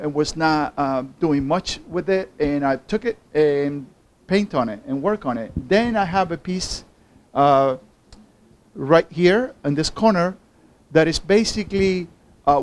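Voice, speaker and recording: A man from Cuba with a Cuban accent informally presenting his own artwork in a halting, hesitant way. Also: a little high, slight background noise. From Havana, Cuba. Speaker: artist.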